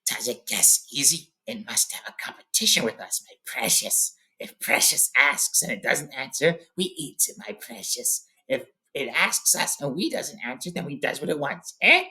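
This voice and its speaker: A man voicing a slimy, hungry cave creature from a storybook, with a hissing whisper, drawn-out muttering and a wheedling manner. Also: on the high side, lively intonation, vocal fry, sharp tone, voice pushed up, exaggerated voice, rough recording. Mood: sly, menacing. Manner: drawn-out, muttering to himself in odd grammar. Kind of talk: storybook character voice.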